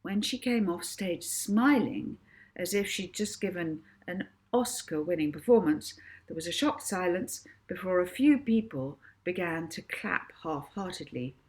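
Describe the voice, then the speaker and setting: A woman reading a children's book aloud as an expressive narrator. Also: fairly low pitch, unhurried. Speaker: author reading own book.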